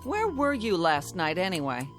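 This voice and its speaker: An actress playing a weary, impatient wife in a radio drama, dryly sarcastic and scolding. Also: female voice, on the low side, huge pitch swings, normal speed, soft background music. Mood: brisk, nagging.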